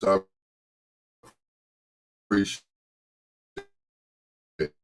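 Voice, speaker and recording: A man hosting a casual livestream, greeting viewers by name in a folksy way. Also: very slow. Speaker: host of a bedtime stories livestream.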